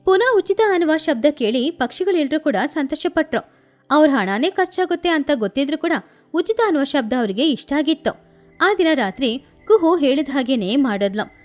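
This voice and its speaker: A woman's voice: high voice, expressive, normal speed. A woman narrating a scripted moral story for children as the storyteller. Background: background music.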